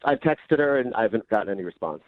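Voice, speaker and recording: A man's voice: lowish voice, animated, fast talker, no room sound. A man chatting casually over the phone to a radio show, earnest, defensive and rambling. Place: phone call. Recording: tinny narrow phone line.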